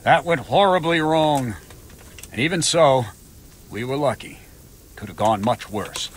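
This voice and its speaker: A man's voice: mid-range voice, lively intonation, unhurried, slight background noise, lightly acted. A man playing a stern, grumbling knight commander in a medieval video game. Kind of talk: video game dialogue acting.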